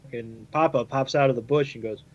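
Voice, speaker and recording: A man's voice: medium pitch, a little fast, highs cut off. A man on a podcast panel chatting and joking casually in a blunt, sweary way.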